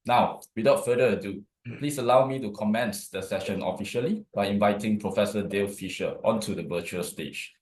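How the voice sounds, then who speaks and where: A man hosting a webinar, introducing speakers in a formal, measured way. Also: fairly low pitch, a little mellow. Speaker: host. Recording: echoey room.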